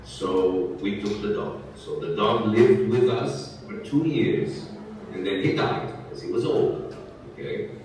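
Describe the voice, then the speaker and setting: A Brazilian man in his sixties, a native Portuguese speaker, talking slowly and clearly in English to students, often checking that he is understood. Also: fairly low pitch, a little mellow, a little hiss or hum. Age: 60s. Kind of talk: talking to students in a language class. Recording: echoey, distant room sound.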